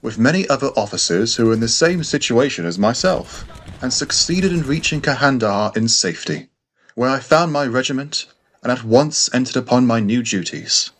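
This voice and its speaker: A man reading a classic first-person story as scripted narration, in a measured, formal way. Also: mid-range voice, animated, fairly bright tone. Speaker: audiobook narrator.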